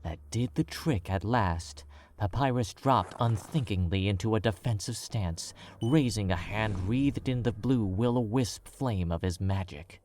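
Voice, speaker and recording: Deep-voiced male narrator. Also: unhurried, a little mellow, a little hiss or hum. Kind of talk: scripted story narration.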